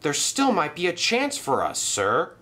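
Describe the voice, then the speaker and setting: A man doing a high, excitable young engine. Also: measured pace, exaggerated voice. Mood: excited. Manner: excitable, emphatic. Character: eager young male tank engine.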